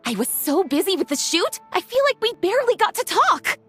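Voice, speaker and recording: Squealing, melodramatic film director. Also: female voice, very high voice, very animated, a little fast, a bit bright, heavily acted. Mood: gushing. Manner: gushing, theatrical. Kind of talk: anime video game voice acting. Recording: music playing behind the voice.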